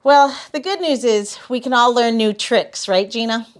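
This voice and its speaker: A woman's voice: fairly high pitch, normal speed. A woman co-hosting a podcast, chatting casually and reflecting aloud in a rambling, self-correcting way. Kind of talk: casual chat.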